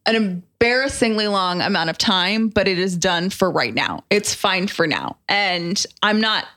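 A woman's voice: mid-range voice, animated, a little slow, bright, forceful tone. A woman co-hosting a podcast, chatting in an informal, conversational and self-deprecating way. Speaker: podcast co-host. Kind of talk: casual conversational podcast chat.

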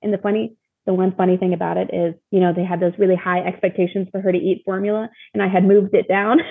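Woman chatting casually, bit husky, tinny phone-like sound, kinda self-deprecating. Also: medium pitch, flat delivery, fast talker. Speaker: interview guest, mother of young child. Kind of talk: casual chat. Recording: thin phone-like call audio.

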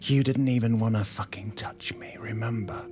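An actor playing a hurt, angry estranged husband in a naturalistic audio drama, halting and stammering as he repeats himself. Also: fairly low pitch, fairly even intonation, a little mellow, faint music behind, natural voice. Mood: angry, exasperated.

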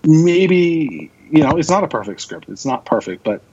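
A man's voice: a little high, sing-song, normal speed, a little mellow, husky, muffled recording. A man chatting casually and with opinions as a podcast guest, speaking with enthusiasm and emphatic repetition. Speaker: podcast guest, radio drama producer.

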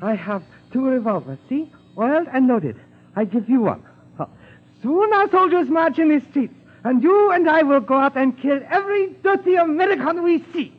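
Fanatical japanese spy in an old radio play, wildly up and down, phone-thin sound, fake accent, gloating. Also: male voice, extremely high pitch, animated, moderate pace. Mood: eager, menacing. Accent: put-on Japanese. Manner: clipped broken English, exultant. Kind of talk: 1940s radio drama acting. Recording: muffled, tinny 1940s radio recording.

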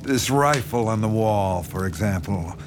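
Horror radio drama: predatory writer, low register, unhurried, coaxing and condescending, music behind. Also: male voice, animated. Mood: smug, persuasive. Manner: slow, coaxing, intense, condescending. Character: sinister horror writer, coaxing, menacing, obsessive male predator.

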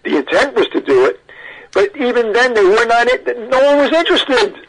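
A man's voice: very high voice, very animated, normal speed, a bit bright, slight background noise, poor audio. An older American man telling his story conversationally as an interview guest, stressing points emphatically. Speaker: interview guest, audio archivist.

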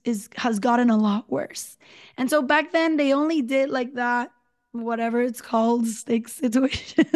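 A woman in her thirties with a hoarse voice, telling her own story as a guest in a podcast interview, chatty and full of "you know" and "like". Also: a little high. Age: 30s.

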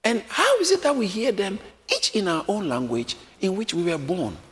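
A man preaching a sermon, lively and often acting out the voices of a crowd, joking with his congregation. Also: sits high, normal speed. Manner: animated, acts out crowd voices, jokes with congregation. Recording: echoey hall with faint room noise.

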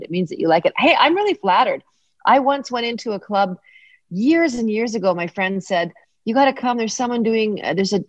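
A woman's voice: medium pitch, no echo. A Canadian woman hosting a casual interview podcast, chatty and rambling, often laughing. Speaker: podcast host. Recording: dry, dull lo-fi sound.

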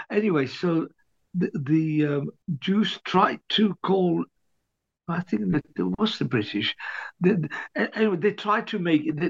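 An older man from Libya, an author, recalling his past in an interview with many restarts and much throat clearing. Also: on the high side, no echo, highs cut off. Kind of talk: hesitant interview reminiscence.

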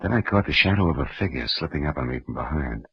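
A man's voice: rumbling low, animated, fast talker. A man with an American accent playing a wry private detective in 1940s radio style, with dry, sardonic delivery. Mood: brisk, wry. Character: wry, hard-boiled male private detective. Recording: dull restored old radio recording.

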